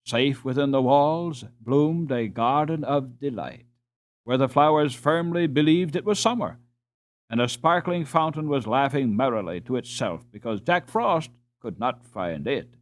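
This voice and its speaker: A man narrating a storybook as an audiobook reader, in a measured, expressive way. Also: mid-range voice.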